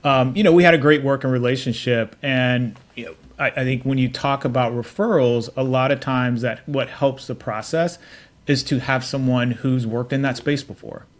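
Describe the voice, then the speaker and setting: A man's voice: mid-range voice, fairly even intonation, normal speed. A middle-aged man answering interview questions as a tech executive, telling his story in an informal, affable way with frequent restarts. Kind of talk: casual conversational storytelling.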